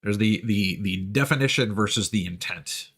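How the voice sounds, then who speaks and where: A man's voice: fairly low pitch, normal speed, fairly bright tone, husky. A man livestreaming a video game with casual, rambling, sweary commentary.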